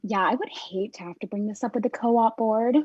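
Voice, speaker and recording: A woman's voice: a little high, brisk, darkish tone, breathy edge, no echo. A woman playing an entitled, disdainful middle-aged shopper in a comedy table read, pointedly polite and demanding. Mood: politely demanding. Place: remote virtual table read. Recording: muffled video-call audio.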